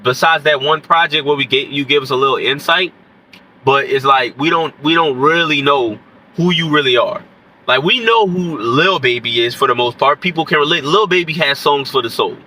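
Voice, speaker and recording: A man's voice: a little high, normal speed, pushed and bright, a little hiss or hum, poor audio. A man, sick and congested with a nasal voice, rambling casually to camera as an independent rapper. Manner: rambling, keeps saying "you know what I'm saying". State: sick with stuffy nose and scratchy throat. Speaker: independent rapper commenting on hip-hop. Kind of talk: casual unscripted commentary to camera.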